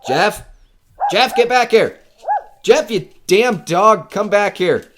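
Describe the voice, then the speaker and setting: A man's voice: sits high, lively intonation, slow, fairly bright tone, slight background noise, full character voice. A man acting in an audio horror drama as a skeptical, wisecracking radio man narrating a ghost hunt in a casual, hesitant way. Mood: startled, alarmed. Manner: casual, flippant, hesitant filler-strewn delivery. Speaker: host of a ghost hunt recording.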